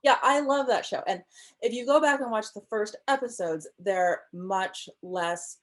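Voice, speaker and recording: A woman's voice: medium pitch, a little slow, fairly bright tone. A woman in her thirties, a novelist guest, explaining her ideas on writing with enthusiasm and frequent ums over a video call from a room at home. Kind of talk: casual interview chat.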